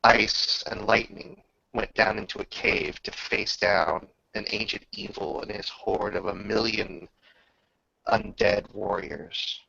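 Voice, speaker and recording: A man acting as game master, telling a tabletop roleplaying story in a measured, grandiose manner with drawn-out pauses. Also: fairly low pitch, steady tone, vocal fry, bright, forceful tone. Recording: slightly dull, roomy home recording.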